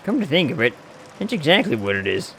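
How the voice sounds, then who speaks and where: A man voicing a friendly, teasing hobo drifter with a folksy, unhurried way of talking, reading a game character's lines aloud. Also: fairly high pitch, very animated, gravelly, bright, forceful tone, a little hiss or hum, exaggerated voice.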